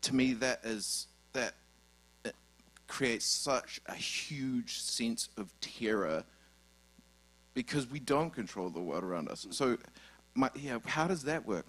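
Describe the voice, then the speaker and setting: A man's voice: mid-range voice, animated, slow pace, a bit bright, low-quality recording. Hesitant New Zealand man asking. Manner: hesitant, many restarts and fillers. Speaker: audience member asking a question. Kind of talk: live question from the floor.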